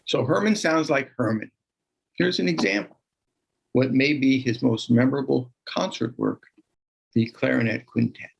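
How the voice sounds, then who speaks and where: A man narrates a documentary in a reflective style. His mid-pitched voice is markedly creaky, and he sets out each thought deliberately, phrase by phrase. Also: low-quality recording. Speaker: film narrator and commentator.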